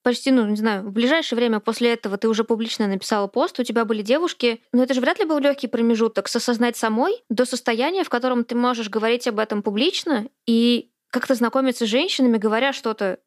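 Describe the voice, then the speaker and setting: A woman's voice: a little high, quick pace, few pauses, no echo, studio quality. A woman hosting a podcast who asks a long, rambling, hesitant question. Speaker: podcast host. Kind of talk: interview question.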